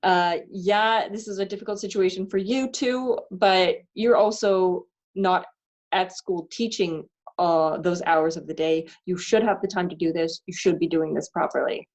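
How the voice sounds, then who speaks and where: A woman, a parent, speaking candidly and haltingly about her frustrations in a reflective self-inquiry session. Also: fairly low pitch, darkish tone. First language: not German. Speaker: parent doing the worksheet.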